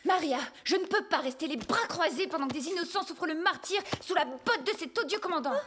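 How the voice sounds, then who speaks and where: A woman's voice: extremely high pitch, lively intonation, fairly quick, noisy background. A woman voicing a brave, principled young noblewoman in a cartoon, firm and properly spoken in her defiance. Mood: indignant. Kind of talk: French animated series acting.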